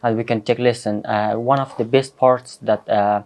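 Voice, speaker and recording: A young man from Afghanistan, a student guest in a studio, answering in a halting, earnest way with many pauses. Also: medium pitch, steady tone, darkish tone. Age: young adult. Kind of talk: hesitant interview answers.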